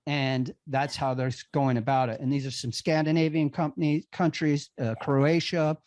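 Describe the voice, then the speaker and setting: A man's voice: a little high, level tone, normal speed, a little mellow, dry recording. Earnest man hosting a podcast, dry sound. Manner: earnest, emphatic, builds to indignation. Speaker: podcast host. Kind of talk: casual conversational interview.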